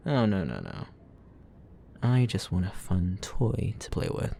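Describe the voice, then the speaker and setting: Man playing a low-voiced, gloating, theatrical supervillain. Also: wildly swooping pitch, creaky, mellow, a little hiss or hum, full character voice. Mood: possessive, menacing. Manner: theatrical, drawn-out, teasing delivery. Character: gloating male supervillain, obsessive, taunting, possessive. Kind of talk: roleplay ASMR audio drama monologue.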